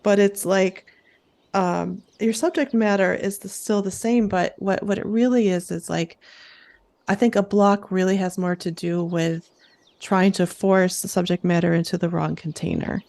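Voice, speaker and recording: A woman with a creaky voice hosting a casual coaching chat, affirming and reflecting back what she hears. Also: medium pitch, fairly even intonation, moderate pace, darkish tone. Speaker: host and creativity coach.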